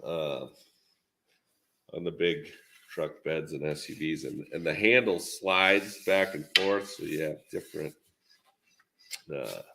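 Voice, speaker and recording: A man hosting a podcast, talking casual shop in a laid-back, halting way, thinking aloud as he goes. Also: extremely low pitch, creaky, pushed and bright, slight background noise. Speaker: podcast host. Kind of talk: casual shop talk on a livestream.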